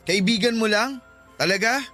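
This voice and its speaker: A man's voice: high-pitched, moderate pace, pushed and bright, faint music behind. A man playing a patient but suspicious boyfriend in a Filipino radio drama, earnest, insistent and hurt.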